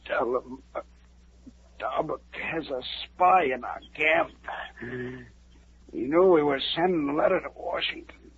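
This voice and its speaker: An actor playing a gravely wounded settler, weak and fading, speaking in halting, breathless broken phrases. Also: male voice, on the high side, no room sound, exaggerated voice. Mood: dying. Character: gravely wounded male settler, frightened and urgent. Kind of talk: 1950s radio drama acting. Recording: muffled, hissy 1950s radio broadcast.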